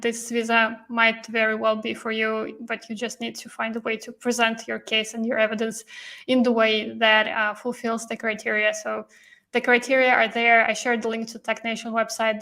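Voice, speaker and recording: A woman's voice: fairly high pitch, sharp tone. A woman hosting a live-stream interview, explaining things in a chatty way with many fillers, and losing her voice. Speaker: host and visa coach.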